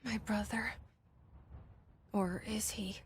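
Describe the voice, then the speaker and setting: An actress playing a guarded, determined young woman in a video game, terse and introspective with hushed asides. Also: mid-range voice, fairly even intonation, slow pace, background noise, lightly acted.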